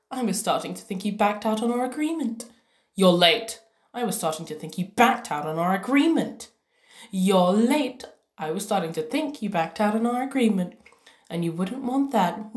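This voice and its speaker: A woman's voice: mid-range voice, reverberant, natural voice. A woman voicing a manipulative, salty diva cat princess, a villain who speaks with pointed condescension.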